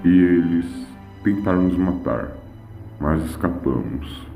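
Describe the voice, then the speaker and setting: A man voicing a gruff, proud alien warrior leader in an audio story, grunting between short haughty phrases through a deepened monster filter. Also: slow pace, dark tone, echoey room, faint music behind. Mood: grudging, bitter.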